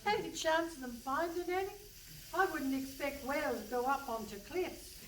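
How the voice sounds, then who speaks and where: Woman playing bossy, high-voiced older English police detective. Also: close to an everyday voice. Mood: dry, suspicious. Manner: brisk, dry, condescending, calling people pet and love. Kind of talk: comic radio play before a live audience. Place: hall stage with a live audience. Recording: distant stage pickup with some noise.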